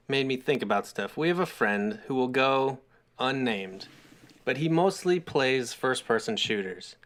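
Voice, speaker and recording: A man's voice: mid-range voice, fairly bright tone. A man co-hosting a podcast, chatting casually and giving opinions in an informal, joking way. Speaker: podcast co-host.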